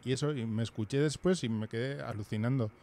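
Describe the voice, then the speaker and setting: Male host in his thirties, halting and hesitant, close mic, small home room. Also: medium pitch, warm tone. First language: Basque. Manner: hesitant, many fillers and restarts. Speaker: podcast host. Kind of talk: casual video-call chat. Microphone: headphones and studio mic.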